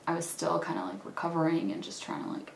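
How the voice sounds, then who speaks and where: A woman in her thirties, slightly hoarse from a cold, chatting casually and rambling with lots of fillers while doing her makeup at home. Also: deep voice, lively intonation, fast, slight background noise. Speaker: beauty vlogger. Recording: roomy echo, mic at a distance.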